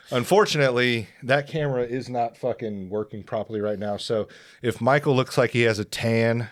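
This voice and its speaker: A man's voice: medium pitch, normal speed. A man hosting a podcast in casual chat, loose and profane, laughing often. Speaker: podcast host.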